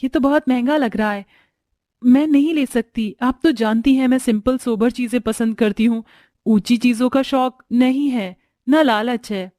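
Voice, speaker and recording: A woman voicing a modest, sweet young woman from a poor family, polite and gentle in manner. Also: fairly high pitch, normal speed, dry recording, studio quality, natural voice.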